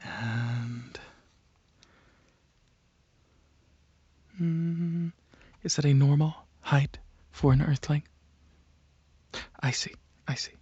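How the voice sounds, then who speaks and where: Soft breathy dragon alien scientist someone doing a roleplay, real slow n gentle, whispery asmr, kinda muffled close mic. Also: mid-range voice, no room sound. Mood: quiet, absorbed. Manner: gentle, halting, reassuring. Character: calm, polite dragon alien researcher abducting a human. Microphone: close microphone. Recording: close, dry, slightly muffled recording.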